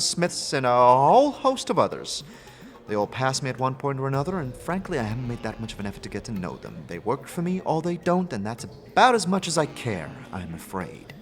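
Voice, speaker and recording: A man's voice: medium pitch, lightly acted. Man voicing an aloof, curt woman engineer. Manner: dry, curt, dismissive. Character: brisk, aloof, secretive female magical engineer. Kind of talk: fantasy audio drama acting. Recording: long reverb, music behind.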